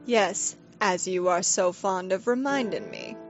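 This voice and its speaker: A woman playing a shrewd, weary noblewoman of a scheming fairy court, speaking in a formal, measured, pointed way in an audio drama. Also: lowish voice, big pitch swings. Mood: cool, weary. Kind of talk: scripted audio drama acting. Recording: slightly dull lo-fi recording. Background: faint music.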